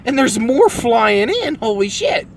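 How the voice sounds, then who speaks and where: A man filming from inside a car, joking in an excitable, profane way and swinging between loud outbursts and low mutters. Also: measured pace, vocal fry. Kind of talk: casual joking commentary. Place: inside a vehicle. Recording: noisy car cabin recording.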